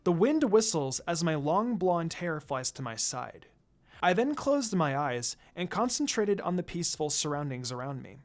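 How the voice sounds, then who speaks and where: Story reader for fan fiction, first-person narration, very varied pitch. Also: sing-song, moderate pace.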